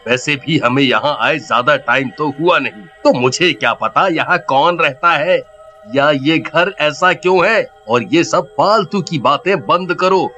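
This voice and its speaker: A man's voice: a little high, measured pace, pushed and bright, soft background music. A man voicing an irritable, scolding cartoon father with a dismissive manner. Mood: annoyed.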